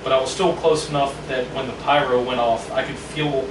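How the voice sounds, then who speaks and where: An animated young man from Virginia, swearing freely as he tells a story in a casual group chat. He sits back from the mic in an echoey room with a steady hum of noise behind him. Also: mid-range voice, brisk. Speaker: band member. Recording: roomy, distant mic with noise.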